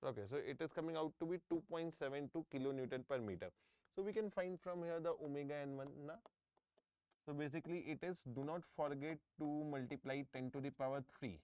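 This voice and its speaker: A man's voice: on the high side, fairly quick, darkish tone, clear, resonant tone. A middle-aged man with an Indian English accent, teaching a live online engineering class, often repeating himself and checking in with students. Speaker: engineering exam tutor. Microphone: close microphone.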